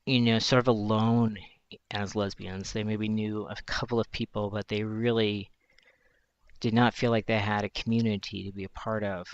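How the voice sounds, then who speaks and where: A man in his forties talks through a personal story in a slow, searching way, with pauses and restarts. His voice is high for a man, yet it stays low and flat, with a gravelly edge. The recording is dry and dull, like a lo-fi mic. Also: dry recording. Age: 40s. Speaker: journalist. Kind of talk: reflective personal monologue.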